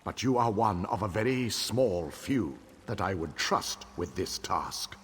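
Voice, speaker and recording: An actor playing a noble, solemn king who rallies his allies in measured, formal speech in a fantasy game. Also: fairly low pitch, animated, a little hiss or hum. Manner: stately, measured, formal oratory. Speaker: war leader king. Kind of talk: dramatic fantasy game dialogue. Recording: long hall reverb.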